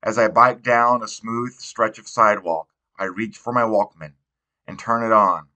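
Male audiobook narrator, slightly low pitch, bright forward tone, reading teen fiction. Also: fairly even intonation, moderate pace. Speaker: audiobook reader. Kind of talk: read narration of a young adult novel.

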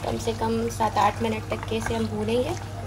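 A woman hosting a cooking channel, calmly explaining a recipe step by step with small hesitations. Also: fairly high pitch, measured pace, noisy background. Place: home kitchen at a gas stove. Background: background music.

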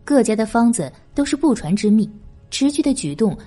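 Expressive woman narrator with a soft-edged voice. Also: on the high side, fairly quick, breathy edge, soft background music. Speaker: audiobook narrator. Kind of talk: dramatic scripted narration of a historical novel.

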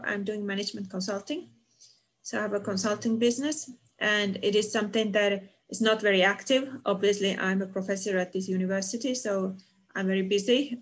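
A woman's voice: medium pitch, fairly bright tone. A middle-aged woman from Finland, a university professor, answering questions from the chat on an online lecture call, with many fillers. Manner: reads chat comments aloud and answers them, with fillers.

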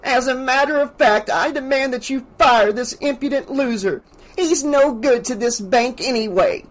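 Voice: mid-range voice, measured pace, a bit bright, slightly rough, low-quality recording. A narrator performs a scheming, spiteful woman from an audiobook novel. She is imperious and hammy, with a theatrical air of wounded dignity and menace. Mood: indignant.

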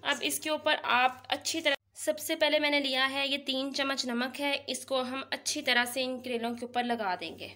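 A woman's voice: high voice, fairly even intonation, moderate pace, sharp tone. Woman walking viewers through a recipe. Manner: instructive, addresses viewers directly. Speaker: cooking channel host. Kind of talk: step-by-step recipe narration. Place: home kitchen at the stove.

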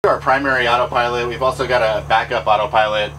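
A man giving a relaxed, practical walkthrough of his boat's helm electronics, talking casually with fillers. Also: medium pitch, fast talker, a little hiss or hum, poor audio. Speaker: boat owner giving a tour. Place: pilot house of a trawler yacht underway.

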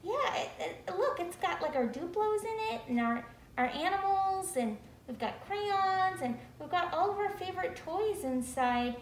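A woman's voice: very high voice, lively intonation, normal speed. A woman voicing an eager, cheerful young child puppet in a children's puppet show, exclaiming in a childlike way. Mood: happy, earnest. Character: excited, cheerful young child puppet going back to preschool. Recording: echoey room, faint background noise.

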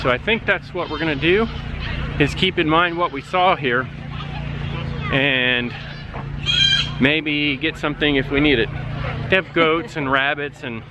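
A man's voice: fairly high pitch, noisy background. A man in his forties chatting casually to camera as a homestead vlogger, recorded outdoors. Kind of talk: casual vlog chat to camera. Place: outdoors, yard and busy farm meet. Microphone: handheld or nearby camera.

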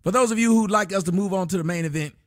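Man, livestream host, slightly high voice, profane and casual, dry close mic. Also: very fast, no echo. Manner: loose, profane, reads donations and chat aloud. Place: small indoor room at a desk.

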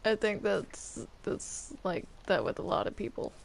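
Slow, creaky woman chatting over a game. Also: mid-range voice, big pitch swings. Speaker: player in a Minecraft roleplay group. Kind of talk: casual gaming chat.

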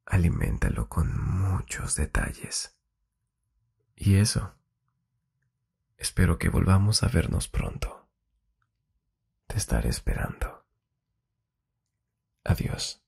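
A man with a very creaky, low voice speaks in a soft, intimate ASMR style. His delivery is slow and husky, drifting into whispers close to the microphone. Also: sing-song. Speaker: ASMR host. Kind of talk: intimate Spanish ASMR relationship advice. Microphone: close-up binaural microphone.

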